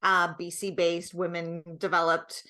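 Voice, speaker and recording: Woman, middle-aged, bright clear-cut voice, explaining stuff chatty and emphatic like a guest expert. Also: fairly low pitch, little pitch movement, a little slow. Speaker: guest expert, product creator. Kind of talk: conversational explaining.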